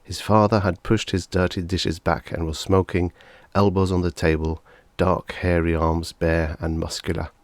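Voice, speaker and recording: A man's voice: sits low, flat delivery, normal speed, dry recording. A man narrating fiction as a scripted audiobook reader.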